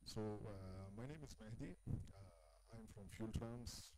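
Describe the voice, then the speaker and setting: A man's voice: on the low side, slow. A man from Tunisia giving a conference talk, hesitant and full of fillers. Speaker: conference speaker. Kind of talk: halting presentation. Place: large exhibition hall. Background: clear background noise.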